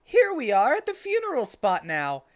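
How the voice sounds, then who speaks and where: An excitable cartoon fox kid, played by a man in a dramatic reading, pitched high and swooping. He is bossy and earnest, and loud in everything he says. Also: moderate pace, exaggerated voice. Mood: announcing.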